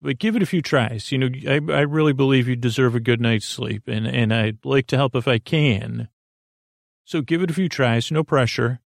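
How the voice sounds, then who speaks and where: A man with a creaky voice hosting a sleep podcast, meandering haltingly through a bedtime monologue and trailing off mid-thought. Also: mid-range voice, dry recording, studio quality. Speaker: podcast host. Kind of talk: rambling bedtime monologue to help listeners sleep.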